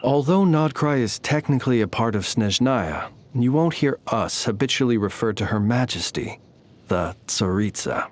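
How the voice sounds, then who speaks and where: Courtly, enigmatic knight, played by a man, eloquent and measured. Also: mid-range voice, lively intonation, close to an everyday voice. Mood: reflective, courteous. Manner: formal, eloquent, measured, old-fashioned gentlemanly speech. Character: courtly, humble, enigmatic male lamp-bearing knight of old. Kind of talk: scripted game character voice lines.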